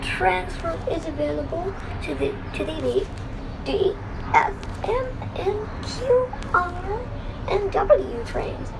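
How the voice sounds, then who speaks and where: A very high-pitched woman delivers automated-style train announcements at a slow pace, over clear vehicle noise. Her phrasing is formulaic and carefully enunciated, with each piece set apart. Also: expressive. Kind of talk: scripted automated transit announcements. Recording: noisy recording with train rumble. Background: train ambience and vehicle noise.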